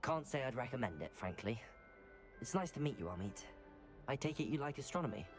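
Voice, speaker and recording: A man's voice: medium pitch, sing-song, a little fast, faint music behind, lightly acted. A man playing a polite, keen teenage new student at a wizard school in a game, curious in manner. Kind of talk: scripted game dialogue.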